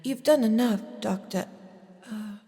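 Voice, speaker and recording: A woman's voice: on the high side, a little slow, mellow. A woman playing a polite, anxious duchess and devoted mother in an audio drama, with a formal, emotional manner. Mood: apologetic, grateful. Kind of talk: scripted audio drama acting. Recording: long hall-like reverb.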